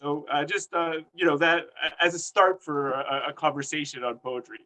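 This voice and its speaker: A man with a bright, forceful, fairly high voice speaks on a video call. He is hesitant and literal-minded and keeps restarting his sentences. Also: fairly even intonation, moderate pace, rough recording. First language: Hebrew. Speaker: panelist, liturgy writer. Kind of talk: reflective webinar remarks.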